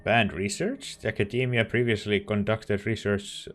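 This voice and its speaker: Male gameplay commentator, fairly low pitch, casual and slightly hesitant, thinking aloud as he plays. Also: steady tone, normal speed. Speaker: let's play streamer.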